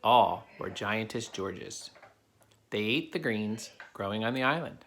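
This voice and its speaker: A man's voice: on the low side, expressive, unhurried, a bit bright. Man reading to children, noisy recording. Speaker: adult reading to a child helper. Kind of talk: reading a children's picture book aloud. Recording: clear background noise.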